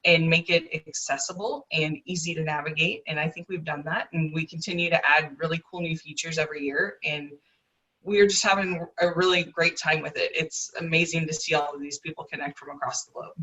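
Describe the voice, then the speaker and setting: A woman guest speaks fluently and with enthusiasm over a video call. Her voice is bright, and she sits a little back from the mic. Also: deep voice, fairly quick, far from the mic, low-quality recording. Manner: fluent, enthusiastic, well-practiced. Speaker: guest, rare disease advocate.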